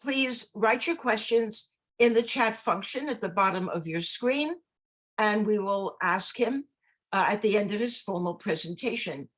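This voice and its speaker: A woman introducing an author at an online book event, reading quotes aloud in a measured way. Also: mid-range voice, animated, a bit bright. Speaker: host. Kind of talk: event introduction on a video call.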